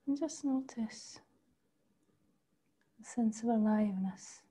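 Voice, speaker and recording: A woman leading a guided body meditation, speaking slowly and softly, often in a half whisper. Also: fairly high pitch, husky. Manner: slow, soft, partly whispered guidance. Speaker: meditation teacher. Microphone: video call.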